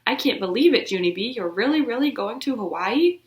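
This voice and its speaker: A woman's voice: fairly high pitch, animated, a little fast, pitched above the performer's own voice, natural voice. A woman voicing a friendly little girl who sounds sincerely amazed. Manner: wondering, sincere. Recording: roomy echo.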